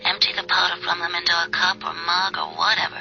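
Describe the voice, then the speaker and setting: An actress playing a scheming, domineering mistress who coaxes in an insistent, conspiratorial half-whisper, in a seventies radio mystery. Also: female voice, lowish voice, level tone, fast, gravelly, bright, forceful tone, heavily acted. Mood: coaxing, insistent. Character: scheming, coaxing mistress, hard-edged and domineering. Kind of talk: 1970s radio mystery drama acting. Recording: dull vintage radio broadcast, music behind.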